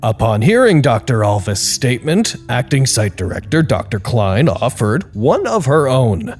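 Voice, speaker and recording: A man's voice: mid-range voice, very animated, a little slow, faint music behind. A man acting in an audio drama as a bookish researcher, speaking in a precise, wry, wordy way. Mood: dry, wry. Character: bookish, dryly formal male researcher keeping records. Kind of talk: audio drama acting, journal-like narration and dialogue.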